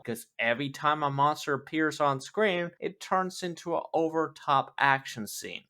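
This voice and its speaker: Video review host, mid pitch with animated range, scripted narration, sarcastic and mocking. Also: gravelly.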